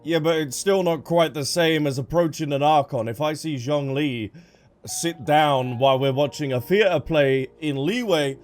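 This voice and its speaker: A man's voice: fairly high pitch, unhurried, soft background music. A man giving casual, reactive commentary as he plays through a game's story. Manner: chatty, reactive, exclamatory. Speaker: game streamer reacting to story dialogue.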